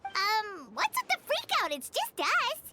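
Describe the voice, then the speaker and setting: Tiny childlike game fairy, extremely high squeaky voice, wildly swooping pitch, excitable. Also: female voice, measured pace, heavily acted. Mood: surprised. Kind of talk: video game voice acting. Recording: loud music behind the voice. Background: game music.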